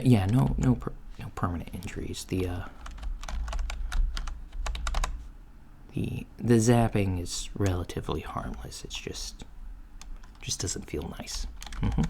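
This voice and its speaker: A man playing a laid-back alien job interviewer in a soft-spoken ASMR roleplay, hesitant and matter-of-fact, with keyboard typing behind him. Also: fairly low pitch, creaky. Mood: casual, reassuring. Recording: faint noise, lo-fi ASMR, keyboard sounds. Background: computer keyboard typing and clicks.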